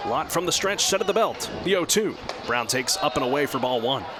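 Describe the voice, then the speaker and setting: A man working as a radio play-by-play announcer, giving live baseball commentary in a measured, descriptive way that lifts with the action. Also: mid-range voice, expressive, over music. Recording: clear outdoor ballpark background noise. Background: outdoor stadium ambience.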